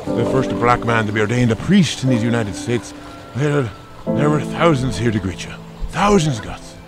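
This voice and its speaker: A man's voice: medium pitch, moderate pace, loud music behind the voice, lightly acted. An actor playing a kindly, proud older parish priest in an audio drama, with a folksy, hesitating, fatherly way of talking. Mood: proud, earnest.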